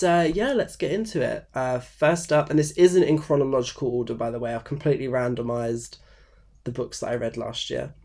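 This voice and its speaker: A man's voice: mid-range voice, creaky, mellow. Congested young man chatting about books. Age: 20s. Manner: rambling, many fillers and restarts. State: congested, croaky from a cold. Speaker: book vlogger. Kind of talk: casual unscripted talk to camera. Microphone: phone camera.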